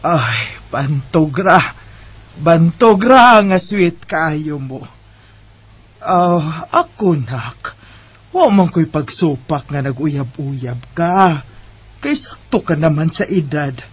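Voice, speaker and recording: A man's voice: fairly high pitch, animated, takes it slowly, husky, heavily acted. A man acting a caring, approving parent of a college student in a Filipino Bisaya radio drama. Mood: fond, advising.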